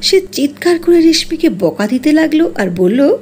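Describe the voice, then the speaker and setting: A woman's voice: high voice, very animated, moderate pace, darkish tone. A woman narrating a scripted cartoon fairy tale.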